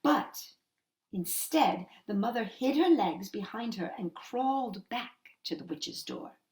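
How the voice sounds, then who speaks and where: A woman's voice: medium pitch, very animated, takes it slowly, darkish tone, echoey room. A woman reading a children's story expressively, with dramatic, emphatic phrasing.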